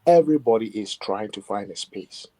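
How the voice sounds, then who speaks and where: A man speaks as a musician guest in an interview. His voice sits low and sounds warm and mellow. He reflects on each point at his own pace and often adds "you know" as he goes. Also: lively intonation. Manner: reflective, measured, with many "you know" asides. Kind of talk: interview conversation.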